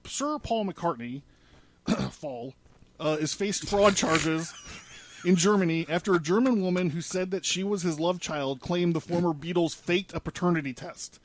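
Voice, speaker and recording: A man's voice: sits high, measured pace, slight background noise. A man presenting a podcast in a studio, reading news articles aloud with informal asides. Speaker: podcast presenter laying out a case.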